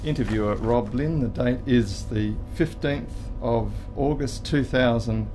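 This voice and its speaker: A man conducting an oral history interview, asking polite, measured questions with slight hesitations. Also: mid-range voice, warm tone, soft background music.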